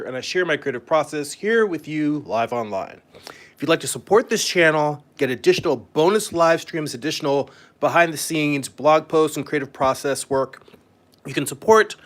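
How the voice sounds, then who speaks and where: A man's voice: on the high side, a bit bright. A man who works as a comic artist, chatting casually and a bit ramblingly as he hosts a live stream. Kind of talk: casual live-stream chat while demonstrating.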